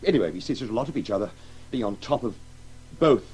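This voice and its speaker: An actor playing a distracted, grieving middle-aged English husband with a halting delivery in a radio drama. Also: male voice, medium pitch, animated, a little mellow, soft background music, close to an everyday voice, rough recording. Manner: absent-minded, halting. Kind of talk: 1980s radio play acting.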